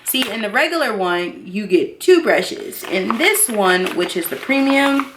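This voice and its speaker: A woman in her thirties chatting casually and excitedly to viewers as a beauty vlogger, expressive and slangy, repeating herself for emphasis. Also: mid-range voice, measured pace, background noise. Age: 30s. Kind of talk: casual excited unboxing chat.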